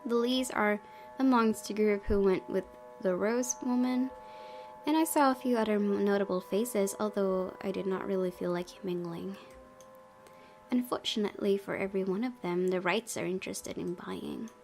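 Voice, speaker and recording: A woman's voice: mid-range voice, moderate pace, a little mellow. A woman hosting a gaming video, chatting and reading aloud in a reactive way. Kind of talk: playthrough commentary and reading aloud. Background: game music.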